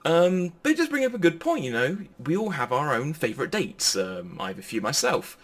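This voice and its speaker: A man's voice: fairly high pitch, very animated, fairly quick. A man giving an informal, pre-recorded sermon in a chatty, jokey manner. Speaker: preacher.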